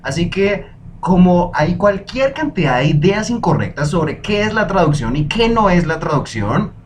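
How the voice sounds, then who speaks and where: A young man hosting a solo podcast, talking in a lively, emphatic way and dropping in foreign phrases. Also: sits high, normal speed, a little hiss or hum. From Colombia. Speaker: podcast host.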